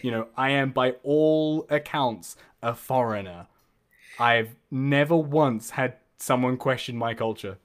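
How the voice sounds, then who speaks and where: This is a man with a New Zealand accent who hosts a relaxed conversation. He asks careful, searching questions and thinks aloud as he phrases them. His voice sits at a middle pitch. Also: a little slow. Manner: thoughtful, asks probing questions. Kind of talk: casual conversation.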